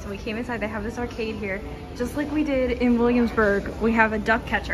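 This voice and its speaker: Bubbly young woman vlogging with a phone. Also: on the high side. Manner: bubbly and chatty, lots of gushing. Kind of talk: casual travel vlog. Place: outdoors and in shops at a beach town, later in a car. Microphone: handheld phone camera.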